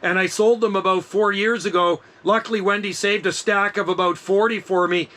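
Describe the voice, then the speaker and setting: A man's voice: sits high, fairly even intonation, normal speed, sharp tone. A middle-aged man chatting casually as an amateur radio operator, enthusiastic and slangy. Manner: enthusiastic, laid-back slangy talk.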